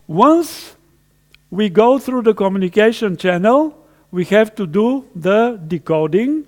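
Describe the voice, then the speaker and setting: A man's voice: high voice, darkish tone. A man from Bulgaria in his fifties giving a conversational technical tutorial lecture, explaining with everyday stories. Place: conference hall. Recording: long hall reverb.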